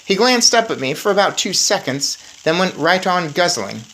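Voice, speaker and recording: A woman's voice: fairly low pitch, big pitch swings, normal speed, a bit bright, rough recording. A woman reading a children's book aloud expressively, with informal asides to listeners. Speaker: read-aloud storyteller. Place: indoors in an attic.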